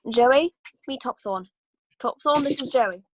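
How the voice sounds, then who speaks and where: A woman's voice: high voice, measured pace, slight background noise, poor audio. A woman playing a blunt, no-nonsense male army sergeant who handles horses, in an amateur script read-through.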